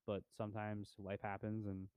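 A man's voice: on the low side, monotone, normal speed, darkish tone, smooth, dry recording. A young man co-hosting a podcast, chatting casually about movies in a laid-back, rambling way with lots of fillers. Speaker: podcast co-host. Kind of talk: casual movie review chat.

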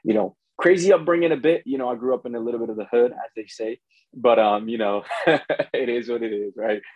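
A man's voice: mid-range voice, fairly quick, darkish tone, dry recording. A man from Ghana who grew up in the United States, speaking as a pastor and guest in a conversational interview, often saying "you know". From born in Ghana, grew up in the United States from preteens.

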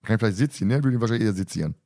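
A man with a fairly low voice talks very quickly as he moderates a casual podcast. He is chatty and teasing, and the sound is dry and close. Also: expressive, creaky, dark tone, no room sound. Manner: joking, teasing, talkative. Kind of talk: casual podcast chat and announcements.

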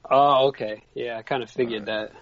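A man's voice: mid-range voice, fairly even intonation, fairly quick. A man in his forties cohosting a podcast, profane and exclamatory. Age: 40s. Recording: dry, dull lo-fi sound.

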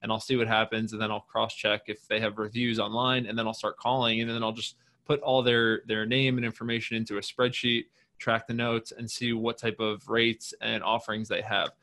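A man's voice: lowish voice, flat delivery, a little fast, few pauses, pushed and bright. A man hosting a podcast, giving a tip and then asking questions in an upbeat, conversational way. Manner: enthusiastic, conversational, fillers. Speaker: podcast host. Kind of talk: podcast interviewing and tips.

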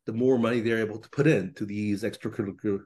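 Thoughtful low-voiced man, full of ums. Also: steady tone, quick pace, darkish tone. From Korea. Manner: thoughtful, hedging with many ums and restarts. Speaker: professor and podcast guest. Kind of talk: conversational interview.

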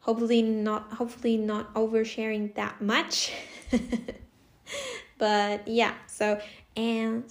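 A woman's voice: a little high, monotone, slow, pauses often. A woman in her twenties from Costa Rica, a native Spanish speaker, hosting a casual solo podcast in a chatty way, often laughing and restarting her sentences. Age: 20s. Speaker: podcast host.

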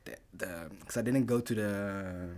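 A man's voice: lowish voice, a little slow, a little mellow. Young man chatting with lots of fillers. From Rwanda, raised in the Netherlands. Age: young adult. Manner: rambling, laughing, lots of fillers and restarts. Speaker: podcast co-host. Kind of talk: casual chat.

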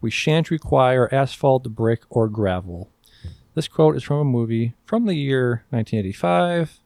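A man's voice: medium pitch, expressive, normal speed, creaky, darkish tone, no room sound. A man hosting a casual podcast quiz, reading riddle clues in mock-archaic verse and refereeing the answers in a firm, teasing way.

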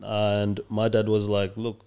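A young man from Indonesia talks as a guest on a casual podcast, his voice sitting a little low. He rambles in a relaxed way, leaning on fillers as he tells his story. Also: a little slow, clean tone. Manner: laid-back, rambling with fillers. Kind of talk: casual chat.

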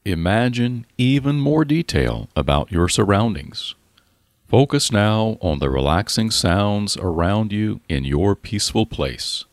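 A man narrating a scripted guided relaxation in a calm, soothing, deliberate manner with pauses. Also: fairly low pitch, no room sound, clean studio sound.

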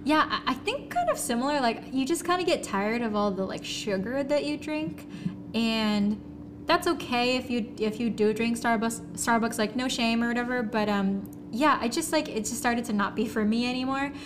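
Young american woman podcast host, chatty, kinda high voice, noticeable noise in the background. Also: lively intonation, moderate pace. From San Francisco, United States. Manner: informal, chatty. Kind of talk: casual podcast chat.

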